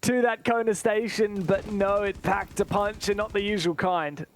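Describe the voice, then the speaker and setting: A man's voice: sits high, fairly even intonation, moderate pace, slight background noise. A man giving live play-by-play commentary on an esports match, with vivid, dramatic phrasing.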